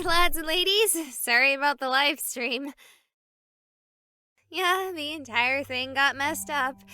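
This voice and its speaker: A woman's voice: high-pitched, pushed and bright, full character voice. An actress playing a chatty, jokey young woman who hunts ghosts on a livestream, theatrical and wisecracking, in a visual novel game. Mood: breezy, apologetic. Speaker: live-streaming ghost hunter. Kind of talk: dramatic visual novel voice acting.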